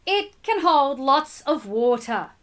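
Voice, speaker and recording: A woman reading a picture book aloud to children in an expressive way, with simple explanatory asides addressed to them. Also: high-pitched, takes it slowly. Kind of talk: animated storybook read-aloud with asides to children.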